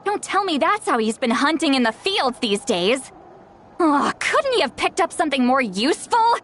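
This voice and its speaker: A woman's voice: high voice, very animated, normal speed, fairly bright tone, a little hiss or hum. A nagging, scolding grandmother. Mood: exasperated. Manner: nagging, exasperated scolding with sighs. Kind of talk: video game cutscene dialogue. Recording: game music behind voice.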